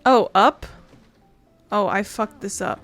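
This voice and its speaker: A woman's voice: medium pitch, slow pace, fairly bright tone. A woman giving casual gameplay commentary as a let's player, muttering and self-deprecating. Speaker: game streamer, let's player. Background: game music.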